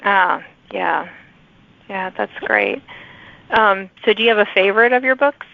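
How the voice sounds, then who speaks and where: A middle-aged woman hosting a casual interview show, chatty and given to animated asides. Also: mid-range voice, unhurried, vocal fry, no room sound, highs cut off. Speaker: podcast host.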